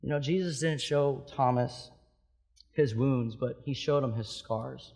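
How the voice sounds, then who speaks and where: A pastor in his thirties from Hawaii, preaching a sermon with impassioned swings between loud emphatic peaks and soft hushed stretches. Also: medium pitch, unhurried, vocal fry. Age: 30s.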